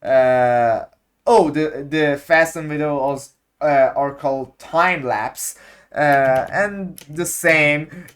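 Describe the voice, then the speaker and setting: A man explaining video editing effects, speaking in halting English with many pauses and fillers as he searches for words. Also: fairly high pitch, fairly bright tone, slight background noise. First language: Persian. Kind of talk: unscripted screen-recorded tutorial.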